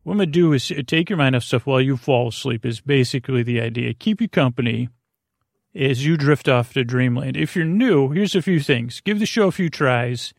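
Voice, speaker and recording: Guy with a creaky soothing voice doing a rambly sleep podcast, keeps drifting off mid sentence, super dry close sound. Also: mid-range voice, no echo. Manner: meandering, halting, trailing off mid-thought; lulling, soothing. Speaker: podcast host. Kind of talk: rambling bedtime podcast monologue meant to lull listeners to sleep.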